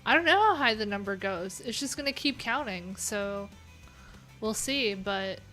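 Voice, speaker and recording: A woman's voice: medium pitch, animated, unhurried, sharp tone. A young adult woman hosting a coding livestream, talking casually and rambling with lots of fillers, with faint music in the background. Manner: chatty, rambling, many "like"s and "um"s.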